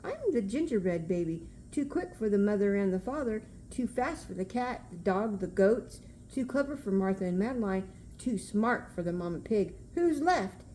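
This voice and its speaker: A cocky little gingerbread boy from a storybook, read aloud by a woman, boastful and taunting in a sing-song way. The voice is soft-edged and mellow, with some room noise behind it. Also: mid-range voice, lively intonation, normal speed, few pauses, natural voice, low-quality recording.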